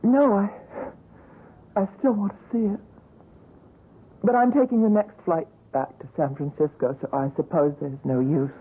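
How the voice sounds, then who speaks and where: A woman's voice: lowish voice, fairly even intonation, husky. An actress playing a shaken young woman visitor who speaks hesitantly in a 1970s radio drama. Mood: distressed. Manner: hesitant, halting speech. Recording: tinny lo-fi radio broadcast.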